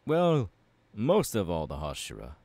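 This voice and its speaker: Man acting passionate male warrior mentor, audio roleplay, courteous, encouraging, fairly low pitch, close dry sound. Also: huge pitch swings, unhurried, mellow, no echo, heavily acted. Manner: earnest, formal, encouraging. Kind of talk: ASMR audio roleplay, spoken to the listener.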